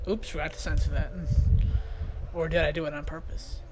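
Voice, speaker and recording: A man's voice: a little high, level tone, fairly quick. A man giving casual, off-the-cuff video commentary in a hesitant, rambling, self-deprecating way. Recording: noisy amateur home recording.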